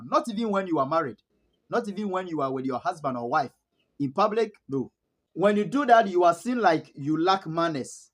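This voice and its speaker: A man from Ghana speaks with a Ghanaian English accent, and his voice sits fairly high for a man. He tells stories to camera in a relaxed vlog style. He chuckles often and doubles up words for emphasis. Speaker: vlogger. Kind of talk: casual storytelling to camera.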